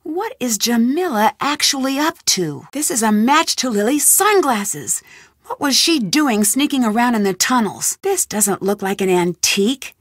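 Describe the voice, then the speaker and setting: A determined young woman detective muses aloud over clues and puzzles in a game. Her voice sits a little high and bright. The sound is close and dry, with no room around it. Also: animated, a little slow, no room sound. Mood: curious. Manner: thinking aloud, inquisitive. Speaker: game heroine. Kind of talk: game voice lines.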